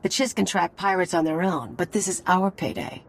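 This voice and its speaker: A woman's voice: fairly low pitch, lively intonation, normal speed, vocal fry. An actress playing an irreverent, money-minded young female mercenary sidekick in a video game. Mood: flippant, griping.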